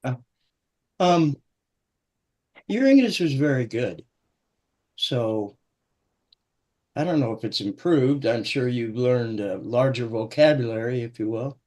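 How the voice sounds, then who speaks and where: A man's voice: medium pitch, lively intonation, slow pace, pauses often, darkish tone. A man co-hosting a casual live stream with his wife, answering viewer questions with dry self-deprecating humor. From United States. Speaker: co-host, host's husband.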